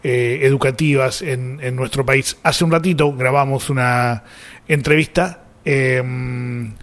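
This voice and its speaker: A man's voice: mid-range voice, steady tone, slow pace. A man with a Rioplatense Argentine accent co-hosting a radio show, chatting casually in a teasing, bantering way. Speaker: radio co-host.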